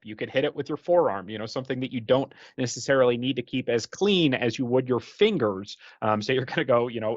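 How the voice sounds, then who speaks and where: A man's voice: mid-range voice, no room sound. An American man giving a casual, explanatory product presentation in a conversational way, often saying "you know". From United States. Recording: dull, dry, lo-fi voice track.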